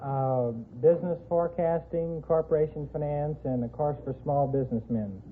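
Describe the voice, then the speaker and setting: A man's voice: on the high side, fairly even intonation, normal speed. A man answering as a quiz contestant in an earnest, matter-of-fact way on a 1950s radio recording. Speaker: quiz show contestant, college professor. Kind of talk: answering interview questions. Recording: narrow-band 1950s radio recording, faint noise.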